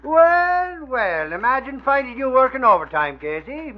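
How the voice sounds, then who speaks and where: An excitable Irishman blusters through an old radio serial. His voice rides high and leaps about in pitch. The sound is dull and aged, and he talks in folksy, flustered exclamations. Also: unhurried, heavily acted. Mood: blustery, defensive. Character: blustery, excitable Irish man, comic sidekick, easily flustered. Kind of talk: 1940s radio acting. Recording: muffled old radio recording.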